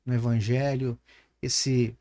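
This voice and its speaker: A man's voice: medium pitch, level tone, takes it slowly, warm tone. A man with a Brazilian Portuguese accent hosting a conversational podcast interview, reflective and building long questions through examples. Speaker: podcast host.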